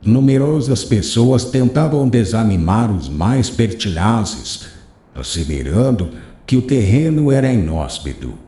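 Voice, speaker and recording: A man voicing a wise, gentle old rabbi teacher who consoles his pupil in a slow, solemn preaching cadence. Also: lowish voice, pitched below the performer's own voice. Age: older. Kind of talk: audiobook character reading. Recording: roomy echo.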